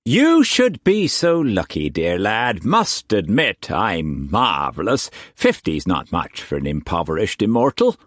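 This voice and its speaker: A man's voice: lowish voice, wildly swooping pitch, measured pace, hardly any pauses, no echo, clean studio sound, full character voice. A man voicing a flamboyant, theatrical old male painter who talks in grand exclamations. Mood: wheedling.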